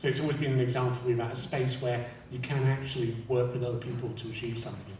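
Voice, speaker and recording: A man offers thoughtful, articulate panel comments at a quick pace. His voice is distant and very reverberant, as in a theatre, over faint noise. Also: mid-range voice, steady tone, a little mellow. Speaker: show commentator. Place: large radio theatre with live audience. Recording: very echoey theatre, far from mic, faint noise.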